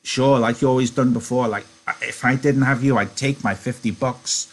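Male reader as simple, childlike big-hearted storybook man: raised pitch, husky, eager stammer, easily excited. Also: normal speed, lightly acted. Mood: anxious pleading. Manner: stammering, repeating words eagerly. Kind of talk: dramatic novel reading.

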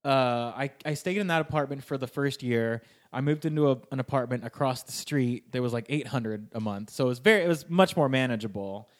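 A man's voice: medium pitch, fairly quick, hardly any pauses. A young man from California, a guest on a podcast, telling stories in a rambling way and saying "like" constantly. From Sacramento, California, US. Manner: rambling storytelling, heavy use of "like". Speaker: guest, improv performer and writer. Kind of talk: casual podcast chat.

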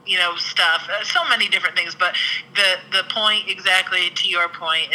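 A man's voice: sits high, bright, forceful tone, low-quality recording. A man co-hosting a podcast, talking in a reflective, rambling way and agreeing often. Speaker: podcast co-host. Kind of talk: casual podcast chat.

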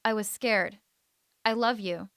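A woman's voice: mid-range voice, takes it slowly, fairly bright tone, clean tone, no room sound, clean studio sound. A woman voicing a seventeen-year-old boy who jeers to hide his feelings. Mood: teasing. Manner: jeering, hides feelings. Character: teenage boy of seventeen, gruff teaser.

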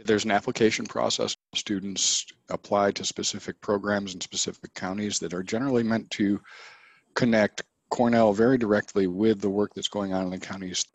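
Low-voiced male interviewer. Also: fairly even intonation, moderate pace, dry recording. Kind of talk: conversational interview questions.

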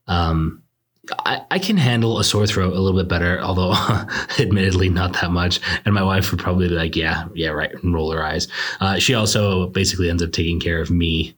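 Hoarse, deep-voiced young man joking. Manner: self-deprecating, joking, laughs often. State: hoarse, recovering from illness. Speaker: podcast host, law student. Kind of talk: casual monologue and interview.

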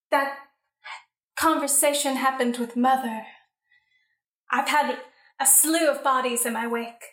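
High-voiced melodramatic young widow, played by a woman. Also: steady tone, slow pace, long pauses, a bit bright. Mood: coy, mournful. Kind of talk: improvised comedic radio play acting. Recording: echoey room, distant from mic.

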